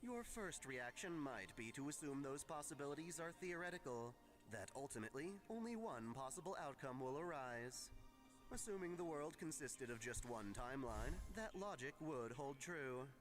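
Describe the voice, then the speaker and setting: A man narrating a scripted lore lesson in a measured, formal lecturing manner. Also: medium pitch, expressive. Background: music.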